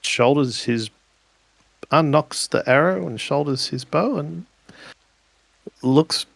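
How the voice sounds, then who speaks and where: Slow, gravelly man narrating a game. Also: fairly high pitch, dry recording. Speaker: tabletop role-playing player narrating characters. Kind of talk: improvised tabletop game narration.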